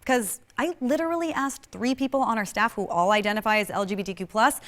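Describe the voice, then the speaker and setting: A woman's voice: on the high side, fast, fairly bright tone. A woman host delivering scripted narration in a sassy, wry way.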